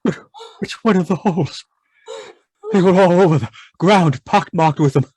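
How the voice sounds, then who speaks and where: An actor playing an anguished, haunted man in an old-time radio horror monologue, halting and repetitive in a melodramatic way. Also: sits high, a little mellow, breathy edge, dry recording. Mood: horrified, anguished. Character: anguished, haunted man raving about monstrous giant worms.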